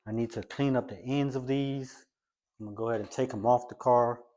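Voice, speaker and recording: A man's voice: medium pitch, fairly even intonation, brisk, darkish tone. A man hosting a car-build vlog, casually explaining his hands-on work as he goes. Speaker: host of a car-build video channel. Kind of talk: casual how-to vlog narration while working.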